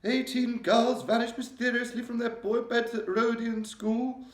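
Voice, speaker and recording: A man's voice: very high-pitched, little pitch movement, unhurried, pitched above the performer's own voice. A man voicing an elderly, regal queen with a refined, upper-class manner, given to alarmed exclamations. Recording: roomy echo.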